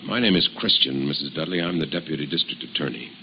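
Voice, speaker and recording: Hard-nosed prosecutor in an old radio play, super deep voice, formal and pushy, dull muffled sound. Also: male voice, background noise. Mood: formal, businesslike. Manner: formal, forceful, deliberate. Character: stern, pressing deputy district attorney interrogating a murder suspect. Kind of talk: 1950s radio drama acting.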